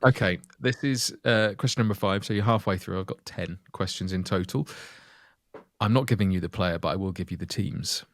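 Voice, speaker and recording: Low-voiced man reading quiz clues. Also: a little fast, no room sound, studio quality. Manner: reads out clues in steady lists. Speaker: quiz host. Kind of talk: casual podcast chat.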